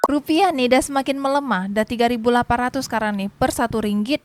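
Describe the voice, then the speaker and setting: High, fast-talking woman. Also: loud music behind the voice. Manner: quick-fire, prodding questions. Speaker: co-host. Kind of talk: casual banter.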